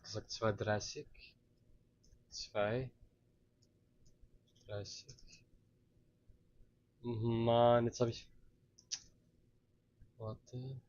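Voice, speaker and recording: A man's voice: medium pitch, level tone, very slow, long pauses, darkish tone, muffled recording. A man who streams, muttering to himself while he follows a tutorial.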